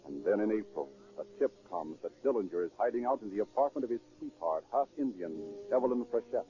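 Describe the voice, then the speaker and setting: A man's voice: lowish voice, lively intonation, quick pace, mellow. A man delivering dramatic scripted narration in the style of 1930s radio. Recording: tinny, phone-like 1930s radio recording.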